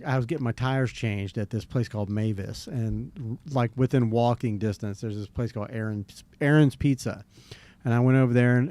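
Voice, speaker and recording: A man's voice: lowish voice, mellow. Rambling man hosting a podcast. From Jacksonville, Florida. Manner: rambling, self-described redneck, drawn-out storytelling. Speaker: podcast host. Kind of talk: casual chat.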